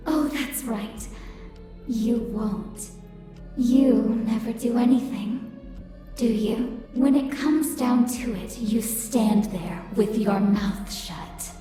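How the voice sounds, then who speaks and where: A woman's voice: on the high side, slow pace, warm tone, soft background music. A woman voicing a smug, taunting living statue in a visual novel, mocking and self-righteous, with heavy reverb. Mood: cold mockery. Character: smug, taunting uncanny double, cracked saint statue. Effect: heavy echoing reverb. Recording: heavy echo, long hall reverb.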